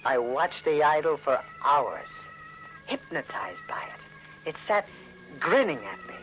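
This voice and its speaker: A man's voice: a little high, very animated, measured pace, fairly bright tone. Noisy old radio recording, tough American man, wary, terse, swooping dramatic delivery, 1940s mystery narrator. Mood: haunted, tense. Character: hard-boiled, wary American man haunted by an idol.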